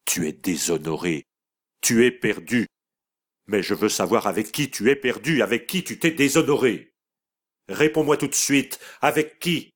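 A man's voice: low voice, expressive, measured pace, husky, exaggerated voice. A man reading an audiobook voices a harsh, imperious mother turned accuser, speaking in a cold, relentless, commanding way. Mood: furious.

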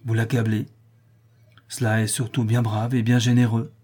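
A man doing a gentle, pleading young woman. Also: mid-range voice, normal speed, slightly rough, close to an everyday voice. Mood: sad, bitter. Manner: soft, pleading, calm.

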